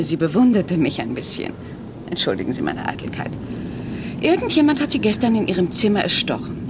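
Arch, animated lady crime novelist. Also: fairly low pitch, background noise. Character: self-assured female crime novelist, brisk, slightly vain. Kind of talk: comic radio crime play acting.

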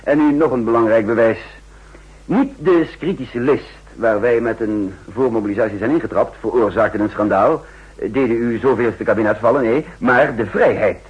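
An actor playing a shrewd, insistent counter-espionage chief in a 1960s radio drama, speaking formally and emphatically with a husky voice. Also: male voice, medium pitch, moderate pace. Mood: insistent, persuasive. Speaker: narrator and main character. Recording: muffled old 1960s radio recording.

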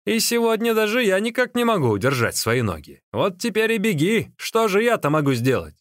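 A man's voice: high voice, huge pitch swings, fairly quick, no room sound, studio quality, voice pushed up. A man voicing a sly storybook sorcerer who scolds and teases with mock earnestness, feigning alarm. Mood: scolding. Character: sly teasing male sorcerer, feigning alarm to trick his companion.

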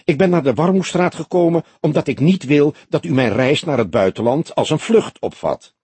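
A man's voice: fairly high pitch, animated, moderate pace, darkish tone, breathy edge, dry recording, pitched above the performer's own voice. A man voicing an arrogant, venomous businessman in his thirties in an audiobook, snappy, impatient and haughty. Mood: impatient, defensive. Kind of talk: Dutch audiobook character dialogue.

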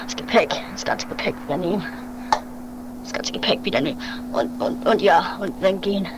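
A woman's voice: fairly low pitch, fairly quick, close to an everyday voice. A woman playing a nervous, devoted companion of a daredevil in an improvised comic radio play, muttering dramatically and repeating words. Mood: elated. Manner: dramatic, repetitive, agitated muttering. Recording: noisy home-made 1980s radio play recording.

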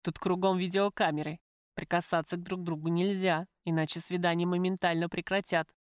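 A woman voicing a tender, worried male lover in an audiobook, speaking softly and earnestly. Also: on the low side, flat delivery, brisk, clean tone, dry recording, studio quality, lightly acted. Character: tender, worried man visiting his imprisoned lover.

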